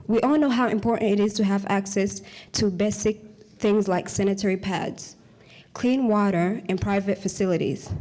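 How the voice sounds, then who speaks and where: Earnest woman giving a halting speech. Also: mid-range voice, mellow. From Congo, raised in Uganda. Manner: earnest, halting, with frequent restarts on words. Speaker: community speaker. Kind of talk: prepared speech to an audience.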